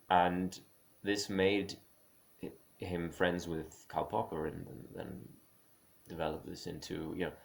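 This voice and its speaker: Very deep, gravelly-voiced man musing aloud. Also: a little hiss or hum. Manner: halting, with frequent restarts and small laughs; musing, opinionated. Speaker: speaker on creative careers. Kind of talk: unscripted spoken monologue.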